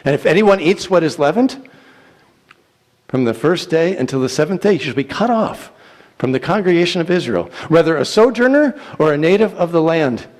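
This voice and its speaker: A man giving a solemn, declamatory dramatic reading of scripture in deliberate phrases. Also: on the high side, lively intonation, darkish tone. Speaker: church reader reciting scripture. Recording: echoey hall with long reverb.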